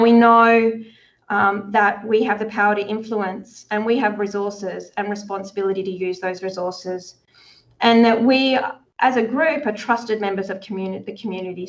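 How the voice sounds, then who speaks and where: Earnest woman presenting over a video call. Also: medium pitch. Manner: earnest, many restarts and fillers. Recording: dull lo-fi video call audio.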